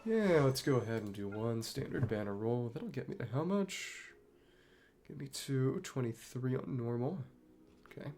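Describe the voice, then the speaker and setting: A man's voice: mid-range voice, lively intonation, moderate pace, a little mellow, a little hiss or hum. A man giving casual video game commentary, thinking aloud and murmuring to himself as he plays. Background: video game music.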